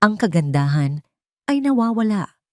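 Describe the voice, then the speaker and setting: A woman narrating a first-person dramatic story from a script, with measured phrasing. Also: mid-range voice, big pitch swings, a little mellow, dry recording, clean studio sound.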